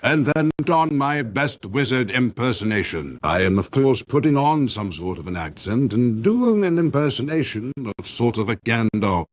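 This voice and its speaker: A man's voice: medium pitch, sing-song, moderate pace, hardly any pauses, no room sound, pitched above the performer's own voice. A man voicing a grand old fantasy wizard with a put-on accent through an AI voice changer.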